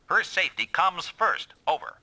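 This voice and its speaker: A man's voice: high voice, big pitch swings, moderate pace, sharp tone, no echo, heavily acted. A man voicing a stern, commanding squad boss in an anime-style video game dub, curt and authoritative.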